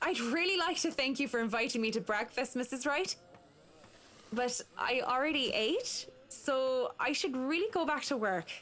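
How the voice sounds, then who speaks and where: An actress playing a polite, eager interior designer in a visual novel game, speaking in an earnest, deferential way. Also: female voice, high-pitched, animated, moderate pace, fairly bright tone.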